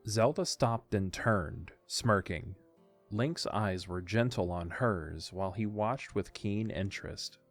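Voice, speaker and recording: A man's voice: lowish voice, takes it slowly, soft background music. A man narrating a scripted audio drama as its storyteller.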